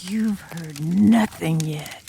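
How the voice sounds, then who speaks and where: A woman voicing an enigmatic drum major student telling a demon horror tale in an audiobook, with ominous, drawn-out, dramatic delivery. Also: fairly low pitch, creaky, over a noisy crowd, full character voice. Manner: ominous, drawn-out, dramatic, sometimes dropping to a whisper. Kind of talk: audiobook ghost story narration in character.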